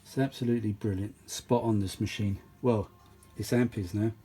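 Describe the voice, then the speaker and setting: A man's voice: fairly low pitch, steady tone, normal speed, dark tone, soft background music. A British man giving a casual, rambling spoken demonstration as a repair vlogger, chatty and given to exclamations. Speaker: vintage audio repair vlogger. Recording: noisy recording with clear background noise.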